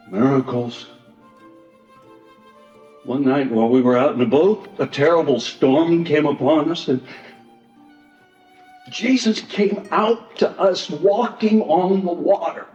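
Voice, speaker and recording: A man playing an earnest, wounded fisherman disciple in a church Passion play, telling his story haltingly and emotionally. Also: on the high side. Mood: awed. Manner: halting, emotional storytelling with swells and hushed drops. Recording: echoey church hall, dull sound, music.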